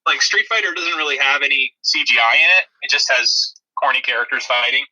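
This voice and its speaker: Guy doing movie review podcast chat, really bright forceful voice, kinda dry and disparaging. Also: mid-range voice, a little fast, rough recording. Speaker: podcast co-host.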